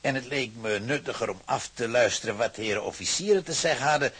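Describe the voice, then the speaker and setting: A man's voice: mid-range voice, lively intonation, quick pace, fairly bright tone, slightly rough. A man playing a self-satisfied, sneaky company informer in a radio drama, smug and matter-of-fact. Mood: sly satisfaction. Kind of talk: Dutch radio drama acting.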